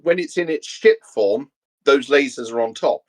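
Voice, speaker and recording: A man's voice: medium pitch, normal speed. A man co-hosting a casual chat, speaking in a hesitant, rambling way. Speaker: co-host.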